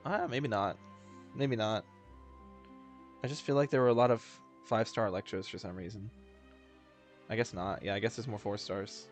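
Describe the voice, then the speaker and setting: Young male streamer, chatty and laughing, lowish voice, game music faint underneath. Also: steady tone, normal speed, long pauses. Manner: chatty, laughs, thinks aloud. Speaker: gaming streamer. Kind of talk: casual reaction commentary.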